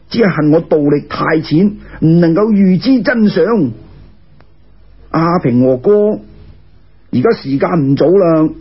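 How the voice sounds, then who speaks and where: Guy as a worried humble cantonese bat spirit in a storybook tale, high husky voice, dull radio sound. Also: exaggerated voice. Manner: deferential, worried, earnest. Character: cautious, anxious male bat spirit, humble disciple. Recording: muffled lo-fi radio recording.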